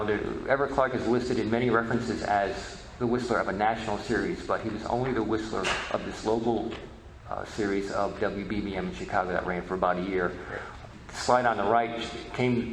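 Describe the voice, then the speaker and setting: Echoey noisy room, lowish male voice, informal lecture, halting delivery, repeated words. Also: steady tone. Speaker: presenter, media history researcher. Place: presentation room at a convention. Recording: echoey room with background noise.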